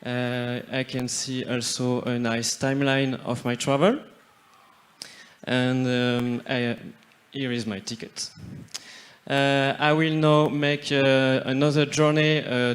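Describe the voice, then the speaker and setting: A man commenting on an app demo during a conference talk, speaking in short, hesitant steps. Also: mid-range voice. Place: conference stage.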